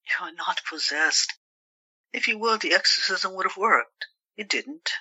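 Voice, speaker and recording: A woman's voice: medium pitch, expressive, a little slow, sharp tone, breathy edge, no room sound, heavily acted, low-quality recording. A woman playing a protective, skeptical, worried sister in an audio drama, reasoning and pleading. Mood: firm concern.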